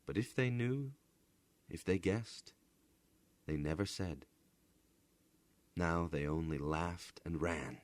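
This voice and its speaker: A man with an extremely low voice narrates fiction as a storyteller. His delivery is slow, deliberate and theatrical, sometimes hushed, in a dry, close sound. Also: dry recording. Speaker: storyteller, voice actor. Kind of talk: dramatic literary story narration.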